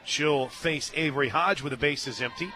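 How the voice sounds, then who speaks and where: A man doing live radio play-by-play of a softball game, mixing commentary with casual banter from a booth at the ballpark. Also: a little high, moderate pace, over music. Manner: bursts into excited shouts on big plays. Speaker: play-by-play sports radio announcer.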